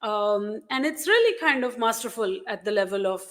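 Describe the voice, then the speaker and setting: A woman's voice: mid-range voice, expressive, moderate pace. A woman interviewer asking a question thoughtfully, with frequent hesitations. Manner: warm backchannels, thoughtful with hesitations. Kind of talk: conversational interview.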